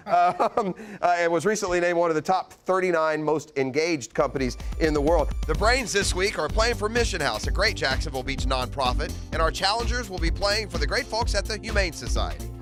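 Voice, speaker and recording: Higher-voiced man hosting a game show. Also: animated, brisk, hardly any pauses, music in the background.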